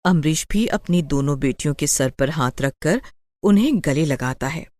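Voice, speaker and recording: A woman's voice: low-pitched, lively intonation, moderate pace, darkish tone, no echo. A woman narrating a scripted bedtime moral story.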